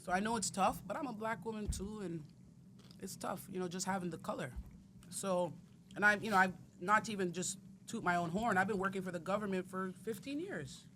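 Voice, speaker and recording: A woman's voice: medium pitch, a little fast. Blunt woman, frank podcast guest, faint noise behind. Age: 30s. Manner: blunt and outspoken. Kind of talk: casual podcast chat.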